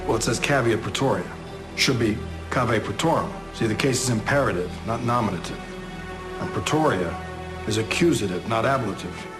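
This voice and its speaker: An actor playing a dry, methodical police detective in an audio drama, with a measured, formal, matter-of-fact delivery. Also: male voice, lowish voice, music in the background, lightly acted. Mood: dryly needling.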